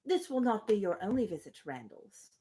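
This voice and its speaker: A woman's voice: mid-range voice, big pitch swings, brisk, dark tone, close to an everyday voice. A woman reading the part of a gracious, playfully teasing young lady from a novel, in polite, witty, formal speech.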